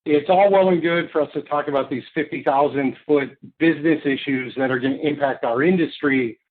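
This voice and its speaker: A man talks through a webinar presentation in a higher-pitched voice, chatty and unscripted, with fillers. His voice comes through a narrow, tinny, phone-like line. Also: a little fast. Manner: talkative, loose, with fillers and laughs. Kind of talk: relaxed conversational presentation.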